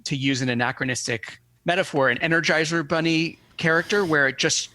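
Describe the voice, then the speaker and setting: Male scholar for an interview, crisp bright edge, dry close sound, measured and analytical phrasing. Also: fairly high pitch, fairly even intonation, no echo. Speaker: guest academic expert.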